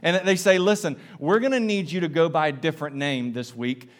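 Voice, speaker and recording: Higher-voiced Southern preacher. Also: male voice, a little fast. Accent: Southern American. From South Carolina. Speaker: pastor. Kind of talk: sermon.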